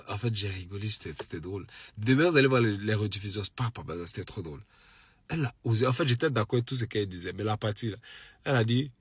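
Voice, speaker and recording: A man's voice: lowish voice, pushed and bright, husky, no room sound. A man with an Ivorian French accent and a head cold, chatting casually and animatedly to followers on a live stream, repeating phrases for emphasis. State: has a cold. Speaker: social media live streamer. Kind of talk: casual late-night live chat to followers.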